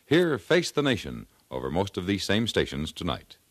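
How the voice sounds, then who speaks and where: A male network announcer in the polished style of fifties radio. His low voice rises and falls expressively over scripted copy, recorded dry and close. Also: wildly swooping pitch, moderate pace, dry recording. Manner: polished, formal delivery.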